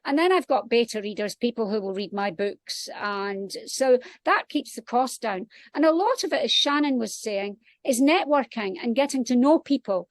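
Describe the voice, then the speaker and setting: A woman's voice: on the high side, animated, moderate pace, few pauses, no room sound. A woman from Scotland with a Scottish accent, talking casually outdoors as a guest author on a panel.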